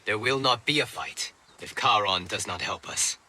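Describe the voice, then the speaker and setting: An actor playing a grim, earnest warrior ally in a video game, speaking formally. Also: male voice, lowish voice, moderate pace, bright, forceful tone, slight background noise. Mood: grim, urgent. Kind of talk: video game cinematic voice acting.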